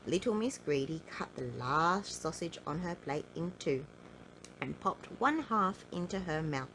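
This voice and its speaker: A woman reading a children's storybook in an animated, playful way, drawing out key words for emphasis. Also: low voice, measured pace, a little hiss or hum, poor audio. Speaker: storybook reader.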